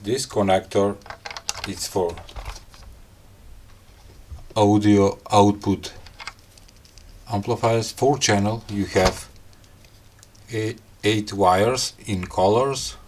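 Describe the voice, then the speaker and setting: A man reviewing a gadget in a casual unboxing style, talking in halting, piecemeal phrases and muttering to himself. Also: lowish voice, steady tone, darkish tone, slight background noise. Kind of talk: casual unboxing and product explanation.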